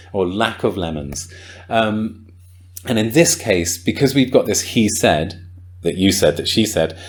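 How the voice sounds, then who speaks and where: A man with a slightly low voice talks through grammar for a podcast. He thinks aloud, with frequent ums and likes, and sounds keen when an idea clicks. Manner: thoughtful, enthusiastic, many ums and likes. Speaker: podcast host and English teacher. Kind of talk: casual explanatory podcast talk.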